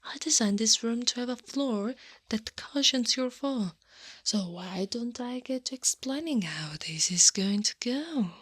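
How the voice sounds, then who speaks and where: An Italian woman playing a tall, teasing Krampus monster woman in a soft, breathy ASMR roleplay, often dropping into a whisper. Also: a little high, expressive, measured pace, dry recording, exaggerated voice. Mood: coaxing, gently menacing. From Italy. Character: eight-foot horned furry Krampus woman, teasing, coaxing, in control.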